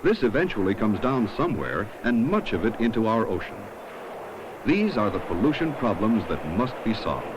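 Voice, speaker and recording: Deep-voiced man narrating an old educational film. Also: sing-song. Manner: measured, instructive delivery. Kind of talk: scripted 1970s documentary narration. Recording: dull, noisy 1970s film soundtrack.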